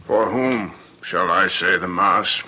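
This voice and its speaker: Slow, sharp-voiced sinister priest. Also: male voice, low-pitched, full character voice. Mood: solemn, grave. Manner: solemn, intoning, halting. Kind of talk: old-time radio drama acting.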